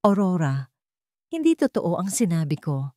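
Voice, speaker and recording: A woman's voice: on the low side, sing-song, normal speed, dark tone, no room sound, studio quality. A woman voicing a humble mechanic and single father who speaks earnestly and tenderly in a storybook romance. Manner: earnest, pleading.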